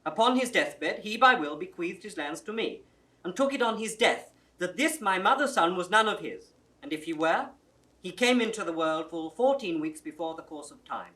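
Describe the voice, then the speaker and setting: Aggrieved, sharp-voiced younger brother. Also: male voice, sits high, lively intonation, moderate pace, poor audio. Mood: aggrieved, earnest. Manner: pleading, formal, insistent. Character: earnest, aggrieved thin younger brother claiming inheritance. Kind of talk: 1960s classical Shakespeare audio drama acting.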